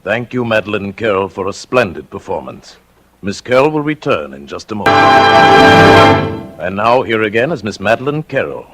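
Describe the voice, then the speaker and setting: A man's voice: lowish voice, big pitch swings, moderate pace. A man hosting in formal, dramatic 1940s radio announcer style. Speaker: program host, announcer. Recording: vintage radio broadcast, loud music.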